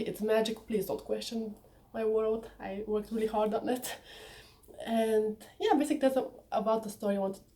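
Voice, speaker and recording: A woman's voice: medium pitch, dark tone. A young woman whose first language is Bulgarian, talking chattily and eagerly to her viewers about her book. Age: young adult. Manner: enthusiastic, chatty, sometimes personal and reflective. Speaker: author presenting her book. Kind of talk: casual vlog talk to viewers.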